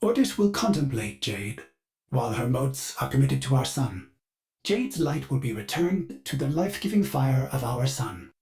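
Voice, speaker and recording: A man's voice: medium pitch, sing-song, normal speed, a little mellow. An actor voicing a loyal, eager male ship's AI who speaks of himself in the third person in formal, sing-song lines. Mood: earnest. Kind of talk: game voice lines.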